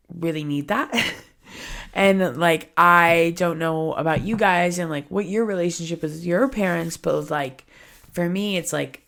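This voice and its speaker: Young female podcast host, early 20s, slightly low voice, chatty, giggly, full of fillers. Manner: chatty, candid, laughs often, many "like" fillers. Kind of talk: casual solo podcast chat.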